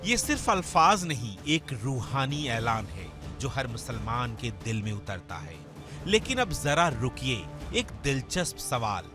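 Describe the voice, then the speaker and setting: A man narrates a scripted news documentary at a slow, deliberate pace. His delivery is solemn and emphatic, with dramatic pauses, and music plays clearly behind his medium-pitched voice. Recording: music under the voice. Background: background music.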